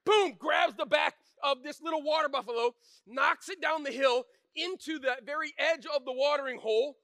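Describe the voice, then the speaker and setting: Male pastor, storytelling sermon, high bright voice, dry close sound. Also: moderate pace, no echo. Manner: animated and shouting in the story, then quiet and earnest. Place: church.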